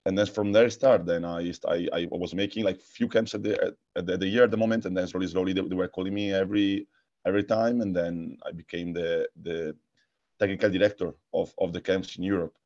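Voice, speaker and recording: A man with an Italian accent, a guest answering interview questions, speaking haltingly with many repeated words and restarts. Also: deep voice, steady tone, darkish tone, muffled recording. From Italy. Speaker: guest, futsal coach and technical director.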